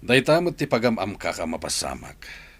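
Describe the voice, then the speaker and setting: A man playing a worried, scheming husband in an audio drama, speaking earnestly and deliberately. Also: deep voice, wildly swooping pitch, exaggerated voice. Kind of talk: Ilocano audio drama acting.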